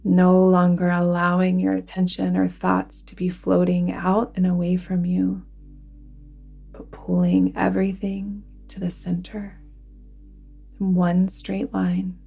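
Lady doing a guided relaxation thing, lowish gravelly voice, soothing n deliberate, kinda hushed at the ends. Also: completely flat delivery, highs cut off, faint music behind. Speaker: yoga nidra guide. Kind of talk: guided relaxation meditation narration.

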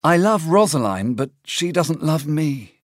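A woman's voice: sits low, big pitch swings, unhurried, dry recording, studio quality, pitched below the performer's own voice, exaggerated voice. Romeo, a woman doing a lovesick young man. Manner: mournful, lovelorn.

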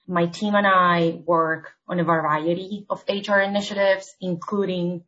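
A woman from Argentina speaks as a podcast guest, her voice a little lower than most. She lays out her points in a measured, orderly way, like a consultant walking a client through a plan. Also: flat delivery, fairly bright tone, muffled recording. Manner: measured, explanatory, structured points. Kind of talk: podcast interview.

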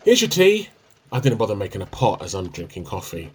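An actor playing an evasive, sheepish husband with a dry wit in a radio play comedy, hesitant and teasing. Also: male voice, fairly low pitch, wildly swooping pitch, brisk, slight background noise.

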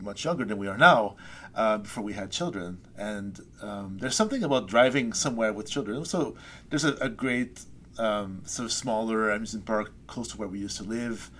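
A low-pitched middle-aged man talks slowly and thoughtfully about his own poem. He searches for words and hesitates often. A faint hiss sits under the recording. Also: a bit bright. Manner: thoughtful, hesitant with many fillers. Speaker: poet guest. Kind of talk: poetry reading and interview.

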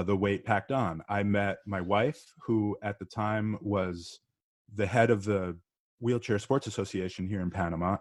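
Middle-aged guy, lowish voice, dry close sound, easygoing storyteller w lots of ums. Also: no echo. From Northeastern US. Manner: relaxed, chatty, with frequent ums and uhs. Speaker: podcast guest. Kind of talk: casual interview storytelling.

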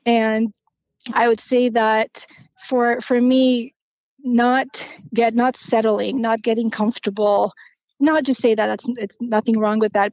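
A woman in her forties, originally from Romania, talks through her story as a podcast guest. Her fairly high voice stays level and even, and she pauses often with ums and restarts. The sound is dry and a little muffled. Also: dry recording. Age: 40s. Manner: reflective, with frequent ums and restarts. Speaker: podcast guest, financial planner. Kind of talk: conversational interview.

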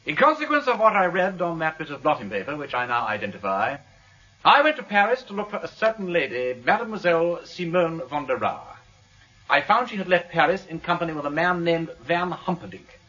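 An aristocratic English sleuth in a radio drama. The voice is fairly high and keen-edged, with clipped, courteous upper-class diction. The recording is slightly dull. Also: male voice, sing-song, brisk, sharp tone. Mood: formal, resolute. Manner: crisp, formal, courteous. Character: gentleman detective, gallant, clipped, dutiful English aristocrat.

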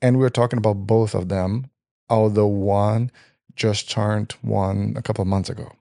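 Portuguese-speaking guy on a podcast, gravelly, earnest, dry close sound. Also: lowish voice, fairly even intonation, moderate pace, mellow, no echo. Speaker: podcast co-host. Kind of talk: podcast narration.

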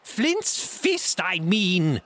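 Raging blind beggar pirate boss, storybook villain, high and swooping, imperious shouted orders. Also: male voice, very animated, extremely slow, full character voice. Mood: furious, eager. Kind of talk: audiobook character acting.

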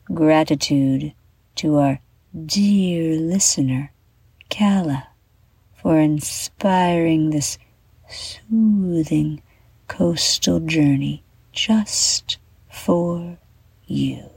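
A woman guiding a children's bedtime meditation in a soft, breathy half-whisper, gently and soothingly, with deliberate pauses. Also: low-pitched, no echo. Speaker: meditation guide and show host.

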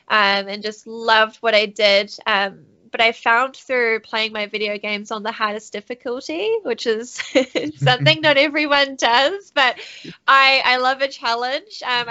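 A young woman with a New Zealand accent talks in a relaxed, chatty way, full of little laughs and fillers. Her voice sits a bit high and sounds bright. The audio is somewhat dull and lo-fi. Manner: chatty, laughs often, many fillers and restarts. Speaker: guest, studio CEO and streamer. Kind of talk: casual podcast interview.

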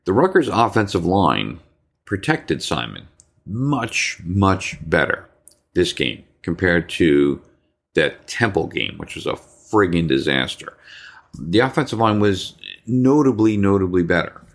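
A man with a New Jersey accent hosting a sports talk podcast, his voice slightly hoarse, rambling with repeated words and ums. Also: low-pitched, sing-song, a little slow. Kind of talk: casual sports talk monologue.

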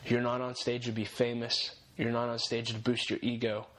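A man's voice: medium pitch, monotone, normal speed, fairly bright tone. Earnest young man giving faith-based encouragement. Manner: earnest, encouraging, repeats key phrases for emphasis. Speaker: podcast host.

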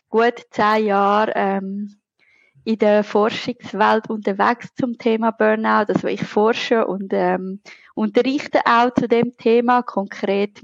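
A woman's voice: mid-range voice, gravelly, no echo, dull audio. A woman from Zurich with a Zurich Swiss German accent, answering conversationally as a podcast guest who researches and teaches. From Zurich, Switzerland.

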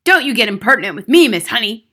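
Storybook villain: enormous tyrant headmistress, shouted, forceful, barking insults, pitched up. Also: female voice, a little fast. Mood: scornful. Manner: booming, barking, hurling insults. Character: huge bullying headmistress, a scornful, raging tyrant.

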